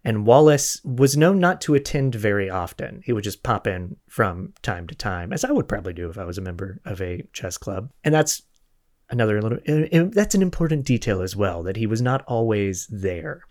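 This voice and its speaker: A man's voice: fairly low pitch, expressive, moderate pace, a little mellow. A man hosting a podcast, retelling a historical mystery in an informal, conversational way with joking asides and self-corrections. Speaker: podcast host telling a true crime case.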